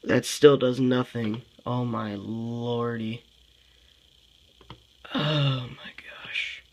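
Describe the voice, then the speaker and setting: A congested young man talks through his game moves at an unhurried, drawn-out pace. He thinks aloud, sighs often and sounds fed up, with a pitch that sits in the middle. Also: fairly even intonation. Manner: sighing, frustrated, thinking aloud. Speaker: gameplay commentator. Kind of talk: casual game commentary.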